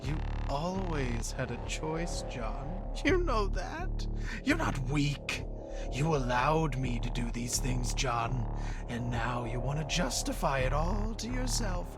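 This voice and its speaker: An actor playing a manipulative, coldly persuasive killer in a video game, speaking in a deliberate, taunting way. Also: male voice, mid-range voice, sing-song. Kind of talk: dramatic video game dialogue. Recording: music under the voice. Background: music.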